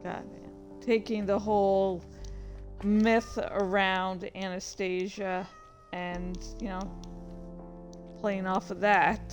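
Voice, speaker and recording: Slow-paced woman rambling casually about her writing. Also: mid-range voice, flat delivery, faint music behind. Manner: halting, self-deprecating, profane. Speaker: writer reading and commenting on own story.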